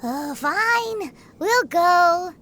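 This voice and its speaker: A woman playing a tiny, chatty, wary sprite companion in a video game, with a squeaky, cute, fretful voice. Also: animated, extremely slow, noisy background, exaggerated voice. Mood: worried, reluctant.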